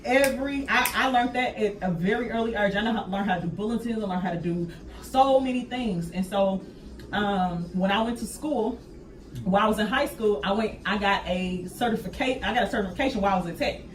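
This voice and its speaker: A woman chats casually on a podcast, stressing her points by repeating them. Her voice sits at a medium pitch. She is picked up from a distance in an echoey room with faint noise behind her. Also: brisk. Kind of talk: casual conversational podcast talk.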